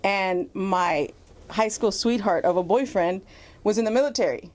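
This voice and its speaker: A woman guest from Mexico, chatting casually in an interview, animated and laughing often. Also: mid-range voice, moderate pace. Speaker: guest actress.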